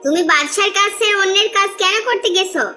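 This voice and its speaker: A woman playing a demanding, sharp-tongued young wife in an Islamic cartoon, nagging and questioning. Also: very high-pitched, fast, soft background music. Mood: reproachful. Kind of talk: Islamic moral-tale cartoon dubbing.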